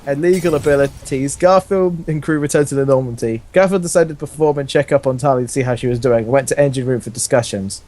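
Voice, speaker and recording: A man's voice: mid-range voice, quick pace, few pauses, darkish tone. A man reading fan fiction aloud in a casual, comic way, amused and often breaking into laughter.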